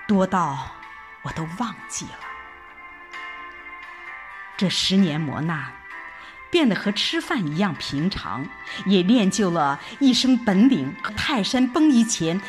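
Female audio drama narrator, reflective and unhurried, highly melodic phrasing, soft music bed. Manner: expressive, reflective recitation with deliberate pauses. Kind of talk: dramatic scripted first-person narration. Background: background music.

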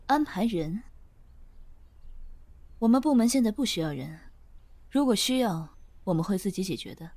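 A woman's voice: medium pitch, lively intonation, moderate pace, pauses often, warm tone, in a large hall, close to an everyday voice. An actress playing a self-assured female finance director in an audio drama, curt, composed and businesslike. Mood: guarded, irritated. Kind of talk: Chinese audio drama acting.

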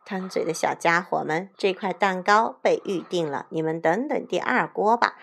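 Lady doing a kindly grown-up storybook bird, gentle but firm, slight creak. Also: lowish voice, moderate pace.

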